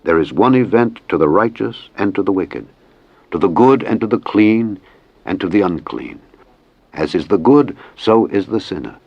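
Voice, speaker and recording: A man reads scripture as a narrator, in a solemn, measured, formal style. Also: low-pitched, animated, gravelly, no room sound, highs cut off. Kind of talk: solemn scripted Bible reading.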